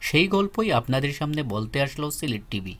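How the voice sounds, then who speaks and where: A man reading a scripted news report as a voice-over in a formal, even newsreader manner. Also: a little high, quick pace, over loud music. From Bangladesh. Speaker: news narrator.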